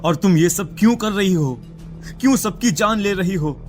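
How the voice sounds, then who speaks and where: An actor playing a grieving, anxious husband and eldest son in a cartoon drama, with a heavy, halting delivery. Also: male voice, high-pitched, close to an everyday voice. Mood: suspicious, tense. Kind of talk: dramatic cartoon story acting. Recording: music behind the voice.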